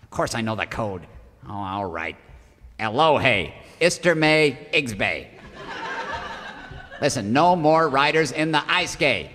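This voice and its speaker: An actor playing a scheming, bad-tempered outlaw villain in a parody of an old western radio serial, sly and blustering. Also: male voice, medium pitch, expressive, slow, long pauses, bright, forceful tone, big-room sound, heavily acted. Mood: conspiratorial.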